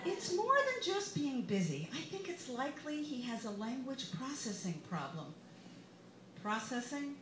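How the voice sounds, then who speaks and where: A woman voicing an earnest, gently insistent mother who gives advice in a careful, soothing, preachy way during a live memoir reading. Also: high voice, big pitch swings, measured pace, voice pushed up. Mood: concerned, insistent. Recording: roomy, echoey bar with background noise.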